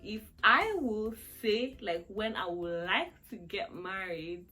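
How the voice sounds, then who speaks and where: A woman's voice: medium pitch, takes it slowly, bright, forceful tone, soft background music. A woman in her twenties chatting through a question-and-answer vlog, rambling and laughing often. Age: 20s. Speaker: vlogger. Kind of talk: casual Q&A chat.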